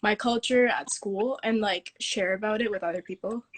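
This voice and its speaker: A teenage girl speaks as a guest on an online live stream, describing her time as a dance student. She talks thoughtfully, stringing answers together with many fillers, at an everyday middle pitch. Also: moderate pace. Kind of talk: casual interview answers.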